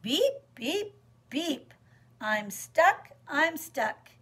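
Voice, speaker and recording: A woman's voice: sits high, sing-song, extremely slow, sharp tone, voice pushed up, full character voice. A woman voicing a small, friendly, eager little truck that beeps in a children's storybook. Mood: pleading. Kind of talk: children's storybook read-aloud.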